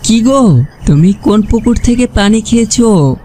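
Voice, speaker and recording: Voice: mid-range voice, expressive, a little slow, dark tone, slight background noise, lightly acted. An actor voicing a concerned, cautioning village woodcutter husband in a cartoon. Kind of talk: dubbed cartoon dialogue.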